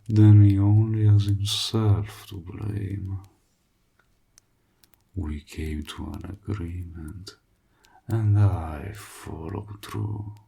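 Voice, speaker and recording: An evil warlock played by a man speaks in a low, gravelly voice thick with breath. He draws his words out very slowly and theatrically, mocking and taunting his client, often sinking toward a whisper, in the manner of a scripted audio roleplay. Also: dark tone, heavily acted.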